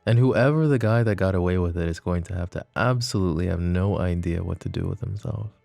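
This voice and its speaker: A man acting a flirty, possessive mafia boss in an intimate ASMR roleplay, speaking softly and unhurriedly in a teasing, world-weary way. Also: low-pitched, fairly quick, gravelly, dry recording, faint music behind. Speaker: voice actor.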